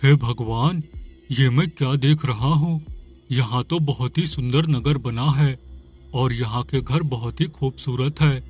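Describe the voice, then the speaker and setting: A man's voice: medium pitch, measured pace, darkish tone, faint music behind, lightly acted. A man voicing a greedy, arrogant village man in a cartoon, with an exclamatory, boastful delivery. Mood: amazed, eager.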